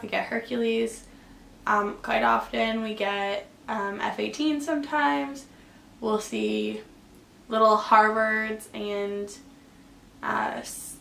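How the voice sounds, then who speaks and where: A woman's voice: a little high, creaky, a bit bright. A young woman from Canada working as an air traffic controller, answering viewer questions in a casual, chatty way with plenty of fillers. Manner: chatty, lots of fillers and likes. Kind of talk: casual Q and A vlog. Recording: echoey room, faint background hum.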